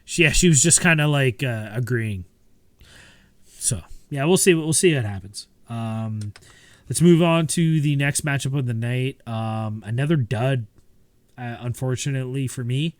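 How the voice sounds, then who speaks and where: Male podcast co-host, mid-pitch, casual and rambling, dry close sound. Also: unhurried, no echo. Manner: relaxed, rambling with many fillers and restarts. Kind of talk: casual chat.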